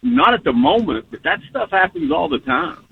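A man calls into a radio show and tells stories in a chatty, amused way. His voice is husky and gravelly, with lively pitch. It comes through a thin, phone-like line from a distance. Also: normal speed, dry recording. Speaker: caller, rideshare driver. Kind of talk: phone-in call.

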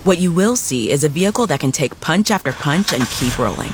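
A man's voice: expressive, brisk, background noise. A man voicing a car commercial in a confident, polished scripted read. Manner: confident, polished pitch delivery. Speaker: ad voice-over.

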